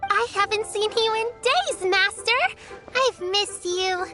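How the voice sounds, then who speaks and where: A sweet, very high little girl. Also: slow. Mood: sweet, eager. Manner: sweet, polite and eager. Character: sweet, polite little girl, earnest and caring. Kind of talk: game cutscene voice acting. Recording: game audio with loud background music.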